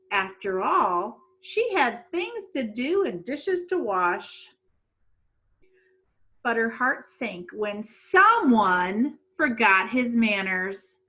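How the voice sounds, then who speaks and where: A middle-aged woman speaks as a teacher to young children at storytime. Her delivery is unhurried and very lively in pitch, and she often turns to her listeners with questions. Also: poor audio. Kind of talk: read-aloud storytime for children. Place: small room at home.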